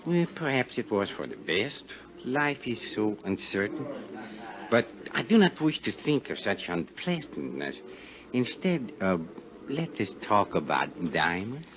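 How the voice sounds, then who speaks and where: An actor playing a shady, suave gangster in a 1950s radio drama, with a French accent and an oily, evasive, falsely courteous manner. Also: male voice, lowish voice, sing-song, measured pace, background noise. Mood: smooth, guarded. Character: shady, suave Algiers gangster, sly and menacing. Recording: muffled 1950s radio recording, crowd murmur behind.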